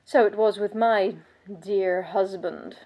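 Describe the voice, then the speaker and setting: An actress playing a bitter, world-weary aristocratic vampire countess in a theatrical monologue, pompous and sardonic in manner. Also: female voice, mid-range voice, steady tone, slow pace, dark tone. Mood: disdainful, wry. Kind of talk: scripted dramatic monologue.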